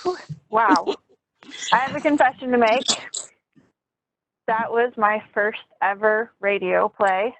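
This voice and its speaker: A woman hosting a casual fan discussion over a video call. She talks at an unhurried pace and sounds enthusiastic. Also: on the high side, gravelly, rough recording.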